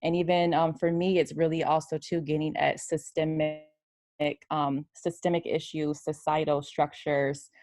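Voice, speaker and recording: A woman's voice: low voice, little pitch movement, normal speed, darkish tone, no room sound, studio quality. A woman speaking up as a participant on a video call, hesitant with many ums. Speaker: workshop participant. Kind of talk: casual remarks.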